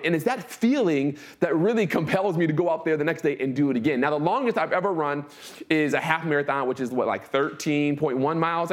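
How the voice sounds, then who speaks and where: American man around forty, slightly high voice. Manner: informal, emphatic, repeats phrases and checks in with listeners. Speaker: speaker giving a talk. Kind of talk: conversational motivational talk with personal anecdotes.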